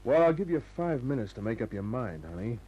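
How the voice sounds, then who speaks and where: An actor playing a hard-boiled, cynical private detective in a 1950s radio crime drama, tough and clipped in delivery. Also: male voice, mid-range voice, sing-song, fairly quick, mellow, breathy edge, dull audio. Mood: tough, pressing.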